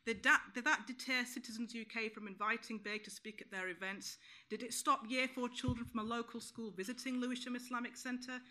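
A woman's voice: fairly high pitch, pushed and bright. A woman in her thirties giving a firm, prepared panel speech with pointed rhetorical questions at a conference. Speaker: panel speaker. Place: conference room, panel table.

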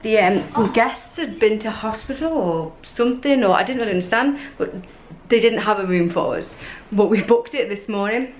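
A woman's voice: mid-range voice, normal speed, hardly any pauses. A woman in her thirties with an English accent, chatting casually and a bit meanderingly to a handheld camera as a travel vlogger. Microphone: camera held at arm's length. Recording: noisy vlog audio with traffic and ambience.

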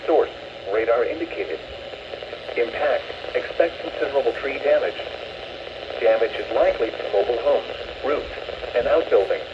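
Male weather radio alert announcer, synthetic and mechanical; muffled speaker in an echoey room with clear noise. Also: medium pitch, lively intonation, normal speed, far from the mic. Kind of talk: scripted weather warning announcement. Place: indoor room, replayed from small radios.

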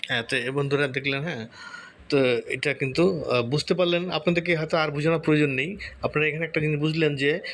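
A man's voice: on the high side, fairly even intonation, quick pace, a bit bright. A man hosting a casual tutorial, explaining informally and often murmuring to himself as he works. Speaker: tutorial host. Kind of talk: casual screen-recorded tutorial, thinking aloud. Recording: noticeable background noise.

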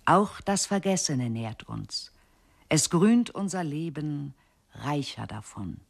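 Radio poetry voice: male, reverent and hymnic, long drawn-out phrases at slow pace. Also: fairly high pitch, animated, gravelly, full character voice. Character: solemn reciter of hymnic river poetry.

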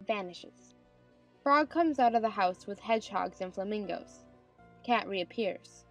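A woman narrates a storybook play in scripted style. The voice is medium in pitch, with soft music underneath. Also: animated, measured pace. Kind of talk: scripted narration of stage directions.

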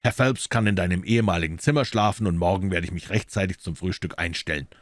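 A man voicing a coolly assured, incisive gentleman detective in an audiobook, emphatic and courteous. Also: low voice, fast talker, no room sound, studio quality, natural voice. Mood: assured, brisk.

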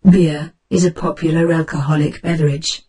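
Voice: medium pitch, flat delivery, moderate pace, dark tone, poor audio. A voice assistant reading out scripted encyclopedic answers.

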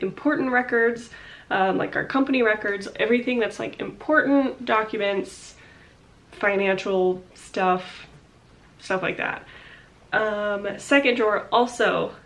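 A woman's voice: on the high side, moderate pace. A young woman in her twenties chatting casually to camera in her home office, congested and a little short of breath, rambling with lots of fillers. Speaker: vlogger. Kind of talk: casual vlog chat. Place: small home office, indoors.

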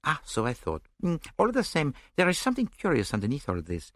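A man voicing a fussy, mocking male Belgian detective, dry and precise, in a lively sing-song. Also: a little high, brisk, no room sound, voice pushed up, heavily acted. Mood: musing.

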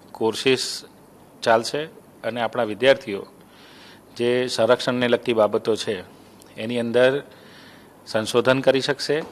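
Middle-aged man giving an interview statement. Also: mid-range voice. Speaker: university official being interviewed. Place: office, indoors. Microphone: handheld reporter microphone.

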